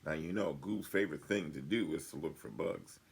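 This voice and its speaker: Gravelly, deep-voiced grandfather reading stories. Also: fairly quick. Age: older. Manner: explains and asks questions to young listeners. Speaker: storybook reader, grandfather. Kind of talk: reading a children's story aloud.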